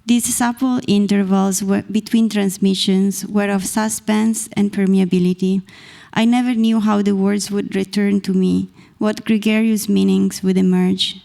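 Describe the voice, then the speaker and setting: Brief: Italian-accented woman in her thirties, poet reading measured verse, warm low tone with some fry. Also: little pitch movement. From Italy. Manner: measured, deliberate reading from a page. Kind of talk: slow poetry reading. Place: small stage in a dark performance venue. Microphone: stand mic close to the mouth.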